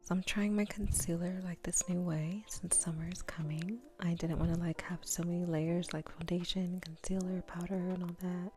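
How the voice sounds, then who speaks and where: A woman in her thirties, sleepy and just up, chatting casually in a soft whisper while doing her makeup at home. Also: fairly low pitch, flat delivery, moderate pace, hardly any pauses, soft background music. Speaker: vlogger. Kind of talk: soft-spoken whispered get-ready-with-me vlog.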